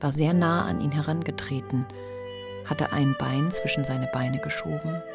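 A woman's voice: deep voice, steady tone, moderate pace, creaky, over loud music. A woman reading scripted literary narration as the narrator of a radio play.

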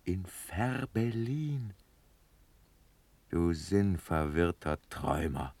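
Wry, exasperated officer, low voice. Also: male voice, very animated, vocal fry, a little mellow, no room sound, full character voice. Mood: impatient. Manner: wry, exasperated, deliberate stage delivery. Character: brisk, practical officer friend of the prince, impatient and mocking. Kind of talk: classical German stage verse radio drama.

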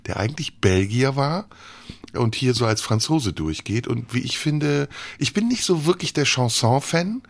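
A man hosts a radio show in a studio and talks in a chatty way, thinking aloud as he steers the conversation. His voice sits at an everyday middle pitch. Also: expressive, normal speed, creaky, dry recording. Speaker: radio host. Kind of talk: casual radio interview.

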